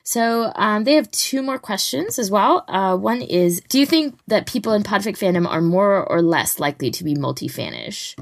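A woman's voice: medium pitch, animated, normal speed, gravelly, hardly any pauses, no echo, clean studio sound. A woman co-hosting a podcast in casual discussion, conversational and loosely phrased. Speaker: podcast host.

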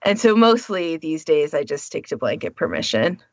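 Woman, lowish voice, casual podcast discussion, opinionated, informal, dry lo-fi sound. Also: very animated, normal speed, no echo. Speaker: podcast co-host.

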